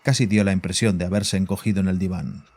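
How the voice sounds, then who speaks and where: A man's voice: low voice, steady tone, fast, a little mellow. A man narrating a scripted horror story as its narrator. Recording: voice over background music.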